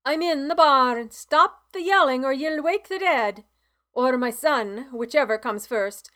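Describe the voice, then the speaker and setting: A woman voicing a gruff, grumbling old male farmer with a Scottish accent, in an audiobook reading. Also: sits high, lively intonation, unhurried, sharp tone, voice pushed up, exaggerated voice. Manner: gruff, exasperated, blustery.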